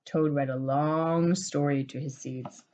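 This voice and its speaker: A woman reading a storybook aloud to young children in an expressive teacherly way. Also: low voice, slow, dull audio. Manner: expressive, addressing small children.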